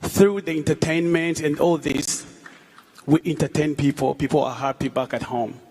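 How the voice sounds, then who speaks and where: A Rwandan man in his thirties, a musician speaking on stage at a public event, halting, with fillers and repeated words. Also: fairly high pitch, fairly even intonation, vocal fry, a little mellow, breathy edge. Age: 30s. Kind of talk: onstage talk at a public event. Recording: long hall reverb.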